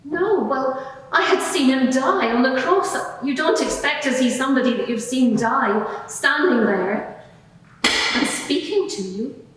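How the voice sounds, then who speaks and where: A woman plays a devoted, earnest witness testifying in a courtroom drama. Her fairly high voice gives candid, hesitant answers. It is picked up from far off in a hall with long, heavy reverb. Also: expressive, unhurried, fairly bright tone. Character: earnest female witness, devoted follower of Jesus. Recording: echoey hall, distant microphone.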